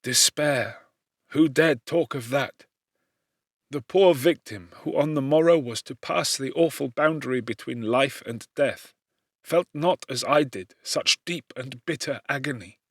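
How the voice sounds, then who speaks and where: A man narrating classic literature as an audiobook in a solemn, measured, theatrical manner. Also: medium pitch, dry recording, clean studio sound.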